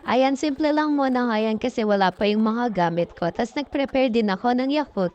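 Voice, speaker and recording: A woman's voice: on the high side, normal speed, darkish tone, lo-fi sound. A young Filipino woman with a chatty, informal manner, narrating a casual vlog as a voice-over. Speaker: mom vlogger.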